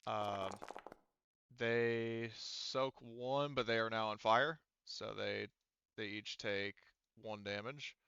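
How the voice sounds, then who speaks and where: A man's voice: fairly low pitch, steady tone, takes it slowly, gravelly, sharp tone, clean tone, no room sound. A man running a tabletop roleplaying game as game master, talking through dice rules in a casual, profane way over slightly dull audio.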